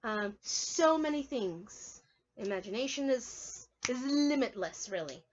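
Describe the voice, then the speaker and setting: High-voiced woman teaching preschoolers, dull recording. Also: expressive, slow pace, a little hiss or hum. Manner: playful and encouraging, exclaims and asks listeners questions. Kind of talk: read-aloud and drawing lesson for young children.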